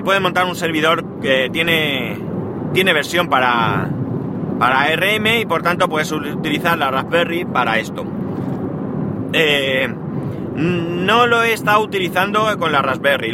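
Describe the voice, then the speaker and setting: A man's voice: fairly high pitch, very noisy. A man with a Spanish accent from Spain, talking through a casual tech podcast in a chatty, rambling way, with lots of fillers and self-corrections. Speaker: podcast host.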